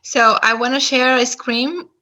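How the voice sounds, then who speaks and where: A woman's voice: high-pitched, steady tone, slow pace, bright, forceful tone, rough recording. A woman speaking English with a Brazilian Portuguese accent, giving a testimony talk, hesitant with frequent "um" and "uh". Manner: hesitant, many "um" and "uh". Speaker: guest speaker.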